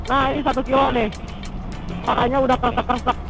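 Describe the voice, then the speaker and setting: A man chats over a helmet intercom. He talks casually as a riding companion, with clear music behind his voice. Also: very high voice, flat delivery, brisk. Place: outdoors, riding a motorbike on the road. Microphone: helmet intercom headset.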